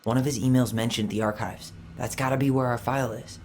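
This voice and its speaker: A man's voice: medium pitch, steady tone, fast talker, a little mellow, a little hiss or hum, lightly acted. An actor playing a sardonic, determined and impatient young man in naturalistic video game dialogue. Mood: puzzled, intrigued. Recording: music behind the voice.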